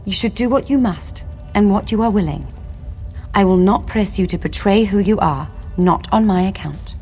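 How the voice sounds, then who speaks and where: A woman's voice: mid-range voice, moderate pace, warm tone, close to an everyday voice. Solemn imprisoned elf played by a woman, music behind. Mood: apologetic, measured. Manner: formal, archaic, solemn phrasing. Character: long-imprisoned elven wife, a bitter, longing, dignified spirit. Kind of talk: scripted fantasy game dialogue.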